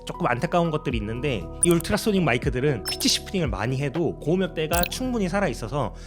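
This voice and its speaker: Man narrating a tutorial, lively pitch. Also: quick pace, over music. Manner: polite, explanatory. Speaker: sound designer explaining own work. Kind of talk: tutorial narration, process breakdown.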